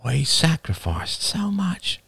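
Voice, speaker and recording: A man voicing a mournful clansman, soft-spoken and solemn, in an audiobook story. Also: a little high, wildly swooping pitch, slow, vocal fry, breathy edge, no room sound, voice pushed up, exaggerated voice. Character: mournful clansman revering his ancestors.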